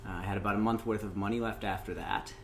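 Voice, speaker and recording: A man from Indiana, a guest talking casually about his life, unhurried and dotted with ums. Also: on the low side, a little hiss or hum. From Indiana, USA. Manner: relaxed storytelling with frequent "um" and "uh". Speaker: interview guest, open mic host. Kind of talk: casual interview.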